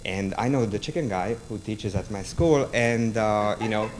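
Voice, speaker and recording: Halting Hungarian-accented male lecturer. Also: lowish voice. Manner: halting, many ums and restarts. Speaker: university lecturer. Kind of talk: public book talk.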